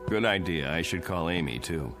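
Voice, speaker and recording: An actor playing an earnest, troubled Air Force colonel in a radio drama, confiding and emphatic. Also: male voice, low voice, lively intonation, moderate pace, over music. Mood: concerned.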